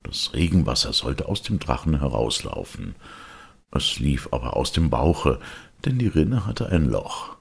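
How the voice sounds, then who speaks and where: A man reading a fairy tale as an audiobook, in an expressive storytelling manner. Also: extremely low pitch, a little slow, creaky, husky. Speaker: audiobook reader. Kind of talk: scripted fairy-tale narration.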